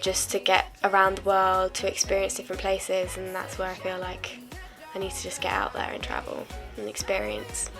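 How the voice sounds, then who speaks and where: A woman's voice: medium pitch, moderate pace, over music. A nineteen-year-old woman talking thoughtfully about wanting to travel. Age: teens. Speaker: interviewee.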